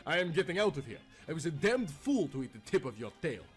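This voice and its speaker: A man's voice: fairly high pitch, very animated, fast talker, soft background music, voice pushed up. A man voicing a pompous, overwrought soldier in a storybook tale, formal and indignant. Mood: angry, defiant. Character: pompous, easily panicked male soldier, a lieutenant.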